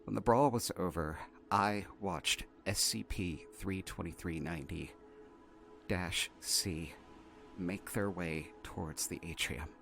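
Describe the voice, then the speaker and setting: A man playing a shaken, exasperated researcher, haltingly dictating an incident report in an audio drama. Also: low voice, vocal fry, fairly bright tone, full character voice. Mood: formal, uneasy. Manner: halting, flustered, repeating words. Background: faint music.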